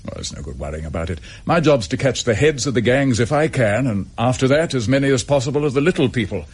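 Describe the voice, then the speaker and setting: Give the radio play a dutiful English chief inspector. His voice is husky and moves freely in pitch. He talks in a clipped, businesslike way, with a frustrated edge of duty. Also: lively intonation, fast talker. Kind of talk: radio drama dialogue.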